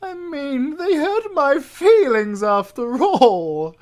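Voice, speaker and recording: A man's voice: very high-pitched, sing-song, slow, a little mellow, exaggerated voice. A British man playing a sadistic, gloating male werewolf villain with a silky, menacing delivery that drops into whispers. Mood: gloating menace. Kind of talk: audio roleplay acting.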